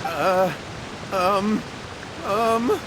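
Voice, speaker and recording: An actor playing an anxious, evasive young villager in a video game, speaking nervously over a clear rushing hiss. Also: male voice, high-pitched, heavily acted. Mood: frightened, flustered. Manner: nervous, stammering.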